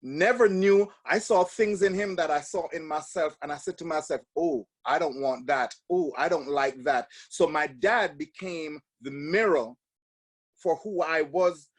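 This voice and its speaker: A man's voice: on the high side, breathy edge. A Jamaican man in his fifties, a guest in an interview, telling stories reflectively with many restarts and fillers. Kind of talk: casual interview talk.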